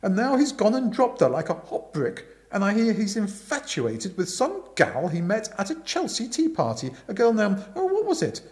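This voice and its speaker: A man voicing a bossy, indignant upper-class aunt in a storybook reading, forceful and emphatic. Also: high voice, wildly swooping pitch, moderate pace, few pauses, pitched above the performer's own voice. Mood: indignant gossip.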